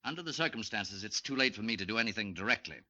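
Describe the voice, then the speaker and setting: An actor playing a calm, questioning psychiatrist in a 1940s radio drama, speaking in a measured, lecturing way. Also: male voice, on the low side, dry recording, heavily acted. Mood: probing. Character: calm, probing male psychiatrist, middle-aged. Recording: dull lo-fi vintage radio recording.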